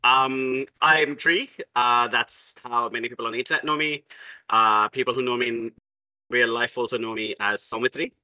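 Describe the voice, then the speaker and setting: Grandiose, playful player from South India, very bright tone, dry close mic. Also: medium pitch, steady tone, moderate pace, no echo, poor audio. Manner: theatrical, playful, grandiose phrasing. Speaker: game designer and player. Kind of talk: casual podcast chat.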